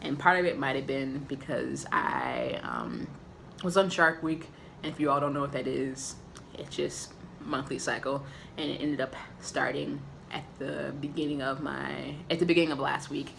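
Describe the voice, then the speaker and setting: A man giving a candid, casual personal update to camera, with a raspy voice and frequent filler ums. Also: medium pitch, lively intonation, normal speed, a bit bright, slight background noise. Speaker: vlogger.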